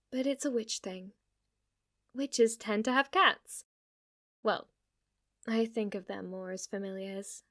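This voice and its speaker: A woman's voice: mid-range voice, a bit bright. A woman playing a playful, possessive, lovestruck witch in an ASMR roleplay, speaking to the listener in a teasing, cutesy way. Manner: teasing, cutesy, swinging between coy murmurs and eager outbursts.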